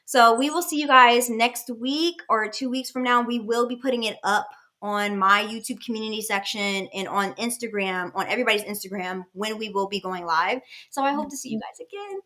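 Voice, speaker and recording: A young woman in her twenties hosts a casual live podcast over a video call. Her voice sits fairly high and sounds bright and forceful. She rambles chattily with restarts and fillers. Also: a little fast, hardly any pauses. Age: 20s. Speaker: podcast host. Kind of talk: casual live-stream chat.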